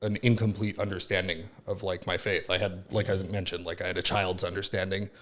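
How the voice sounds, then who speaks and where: A man's voice: low-pitched, flat delivery, brisk, fairly bright tone. A man in his thirties from Southern California, a podcast guest telling his story in a rambling, casual way full of fillers. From Southern California, born in Finland. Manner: rambling, many fillers like "like" and "right?". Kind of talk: casual reflective chat.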